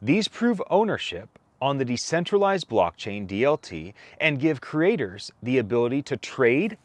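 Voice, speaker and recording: A man narrates a written paper aloud in a close, dry recording. His pitch moves in a lively way, and he sets out his phrases deliberately, giving weight to individual items. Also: dry recording. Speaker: co-author reading a whitepaper aloud. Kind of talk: read-aloud document narration.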